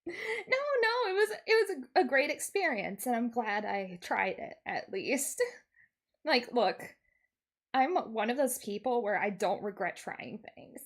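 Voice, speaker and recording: A woman with a fairly high voice hosts a livestream in a casual, chatty style. She talks at an unhurried pace, with an animated, giggly and expressive manner. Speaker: streamer, VTuber host.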